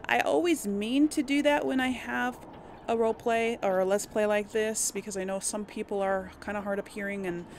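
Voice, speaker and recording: A woman's voice: medium pitch, a little hiss or hum. A woman giving casual, chatty gameplay commentary as a let's play host, explaining things and correcting herself as she goes. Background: video game ambience.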